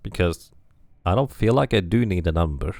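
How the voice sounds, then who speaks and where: Brief: low-voiced male streamer, gravelly and lively, musing casually between dialogue choices. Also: normal speed. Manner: musing, chatty, thinks aloud to viewers. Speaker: game streamer. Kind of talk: casual let's-play commentary.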